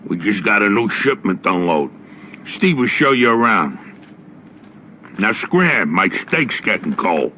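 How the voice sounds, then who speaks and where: An actor playing a brash, casual waterfront boss in a video game cutscene. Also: male voice, fairly low pitch, very animated, unhurried, bright, forceful tone, slight background noise, heavily acted. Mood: breezy, bossy.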